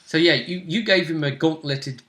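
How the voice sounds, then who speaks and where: A man's voice: on the high side, moderate pace, bright, forceful tone, echoey room. A British man chatting casually and emphatically as a game master recapping a tabletop role-playing game.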